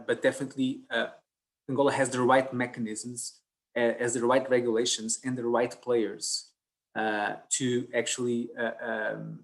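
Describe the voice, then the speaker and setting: A man moderating an online conference panel, talking in a halting way with many fillers and restarts. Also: mid-range voice.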